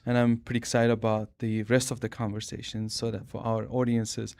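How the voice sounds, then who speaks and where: A man's voice: fairly low pitch, monotone, fairly quick, gravelly, a little mellow. A man hosting an interview, chatty and prone to joking asides. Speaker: interview host. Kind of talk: interview conversation.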